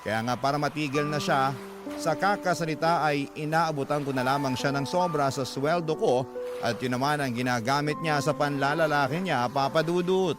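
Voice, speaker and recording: Casting: male radio storyteller, slightly high voice, dramatic first-person narration with music underneath. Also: normal speed. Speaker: host and narrator reading a letter.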